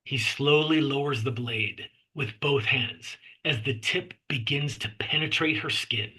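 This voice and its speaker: A man's voice: medium pitch, measured pace, pushed and bright, husky, rough recording. A man reading the stage directions of a horror script aloud as scripted narration at a table read.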